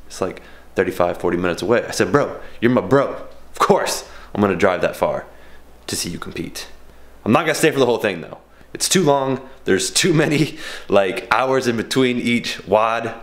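A young American man chatting casually and informally in a home vlog, in a slangy, chatty way. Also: on the low side. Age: 20s to early 30s. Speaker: fitness food vlogger. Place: home kitchen, indoors. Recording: roomy echo, clear noise and clicks.

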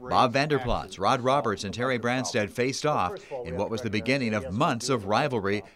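A man's voice: medium pitch, lively intonation, normal speed, crowd noise. A man with an American accent reporting and narrating broadcast news.

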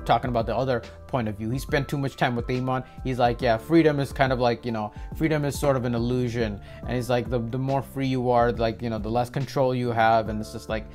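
A man's voice: mid-range voice, steady tone, fast talker, few pauses, darkish tone, soft background music. A young man giving casual, rambling commentary to viewers as a reaction video host, peppering it with "you know.". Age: young adult. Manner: rambling, repeats filler phrases like "you know". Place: small indoor room with desk and posters.

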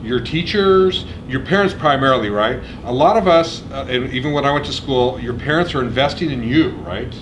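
Middle-aged man, emphatic orientation speaker, mid pitch, noisy lecture room. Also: moderate pace, hardly any pauses. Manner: energetic, emphatic, checks in with okay and right. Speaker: program administrator. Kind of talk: orientation speech.

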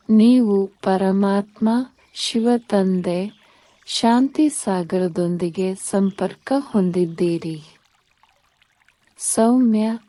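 A woman narrating a guided sleep meditation in a calm, soothing, measured manner. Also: medium pitch, no room sound.

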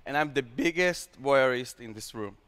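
A young man with an Israeli accent, a startup founder pitching his product on stage with energetic, slightly broken English. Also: moderate pace. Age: young adult. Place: large hall with stage. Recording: long hall reverb.

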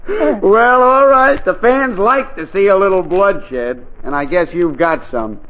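An actor playing a gruff, exasperated American fight promoter in a 1940s radio comedy, brusque and blustering. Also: male voice, high voice, animated, takes it slowly. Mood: brusque, mocking. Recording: muffled 1940s radio recording.

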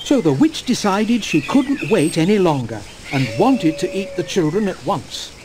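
Animated male fairy-tale storyteller. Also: high voice, normal speed, background noise. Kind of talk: scripted fairy-tale narration.

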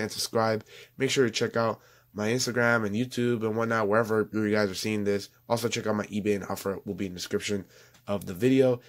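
A young man reviewing toys at home in a rambling, chatty way, his voice going hoarse. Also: mid-range voice. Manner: rambling, chatty, self-correcting. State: losing his voice. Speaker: toy reviewer. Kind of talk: casual toy review voice-over.

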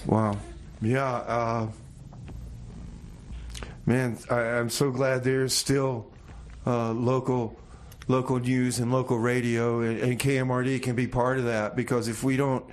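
Radio host guy, mid-pitched, pretty flat tone, just chatting casually. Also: takes it slowly, slight background noise.

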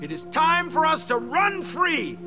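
Defiant male idealist for a game, very high voice, swooping and theatrical, over music. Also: huge pitch swings, unhurried, exaggerated voice. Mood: outraged. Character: fiery, rebellious male idealist craving freedom. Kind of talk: dramatic video game voice acting. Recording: music behind the voice.

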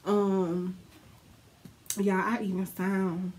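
A young woman talks to her camera in a small dark room, her voice stuffy and congested. She drawls slowly and bluntly, swearing freely as she chats to her viewers. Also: medium pitch, little pitch movement. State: stuffy, congested from a sinus infection. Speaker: beauty vlogger. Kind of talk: casual wig review to camera.

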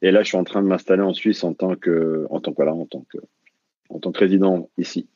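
French guy on a dry dull call, lowish voice, keeps restarting and saying euh. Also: fairly even intonation, quick pace, warm tone, no echo. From France. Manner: hesitant, many restarts and fillers. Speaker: guest, ultra-distance runner and coach. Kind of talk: casual interview.

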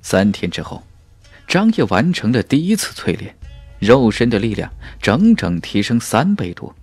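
A man's voice: mid-range voice, sing-song, normal speed, a little mellow, breathy edge, dry recording, faint music behind. A man narrating a fantasy novel as an audiobook, in a dramatic, emphatic storytelling style.

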